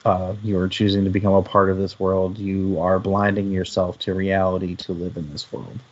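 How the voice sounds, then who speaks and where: A man with a fairly low voice talks through ideas in a casual, analytical way. He sounds like a panelist in an informal discussion. The recording is a little dull and lo-fi. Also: flat delivery, normal speed, a little mellow.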